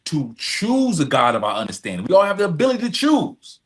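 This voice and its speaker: A man's voice: on the high side, wildly swooping pitch, a little fast, a bit bright, slightly rough. A man speaking as a podcast guest in earnest, conversational storytelling, repeating phrases for emphasis and often checking in with his listener. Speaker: podcast guest, advocate and executive director.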